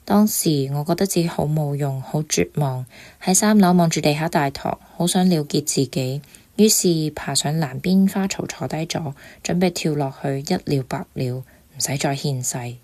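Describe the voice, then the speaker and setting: An actress playing a sincere, emotionally wounded young lecturer in a Cantonese radio drama, with a Hong Kong accent. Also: female voice, deep voice, moderate pace, mellow, no room sound. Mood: sorrowful confession.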